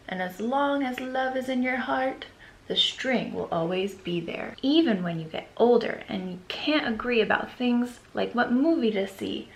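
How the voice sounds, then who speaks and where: A woman voicing a gentle, reassuring storybook mother in a soothing, patient way. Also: fairly high pitch, reverberant, low-quality recording.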